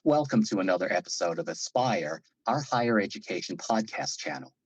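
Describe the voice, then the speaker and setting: A man hosting an education podcast, asking formal, polite questions in a measured way. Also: lowish voice, lively intonation, gravelly, slightly rough, no echo. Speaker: podcast host. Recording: dull lo-fi sound.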